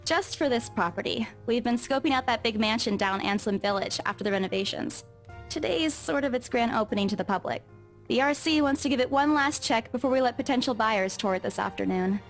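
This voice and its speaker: An actress playing an anxious, superstitious young real estate agent in a visual novel, with a British accent and a jittery, earnest manner. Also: female voice, a little high, a little fast, faint music behind, natural voice. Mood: casual, resigned.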